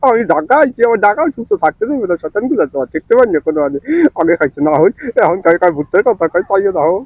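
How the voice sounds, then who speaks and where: A man playing an excitable old village farmer, spluttering and repeating his words in a comic prank-call sketch. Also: high voice, racing, dry recording, highs cut off. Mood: pleading, cursing. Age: older.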